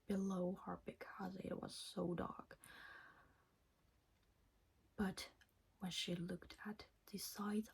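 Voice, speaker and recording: Non-native english lady whispering a bedtime story asmr style, super breathy, real slow. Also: mid-range voice, rough recording. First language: not English. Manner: slow, hushed, deliberate.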